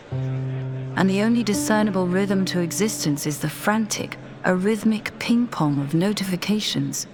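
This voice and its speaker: A woman narrating a scripted guided meditation in a measured, deliberate, soothing manner. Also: mid-range voice. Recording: voice over background music. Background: music.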